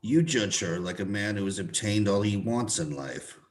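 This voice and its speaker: A man's voice: lowish voice, moderate pace, lightly acted. A man playing a wistful, grumbling retired civil servant of sixty-five in a play reading, plaintive and rambling. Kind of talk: cold play reading over video call.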